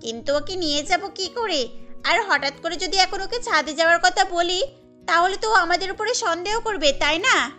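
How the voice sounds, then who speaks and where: A woman voices a spiteful cartoon schoolkid who is always scheming. The voice is very high and childlike, over music. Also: moderate pace, sharp tone. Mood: conspiring. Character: spiteful scheming schoolchildren plotting against classmate. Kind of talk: cartoon character voice.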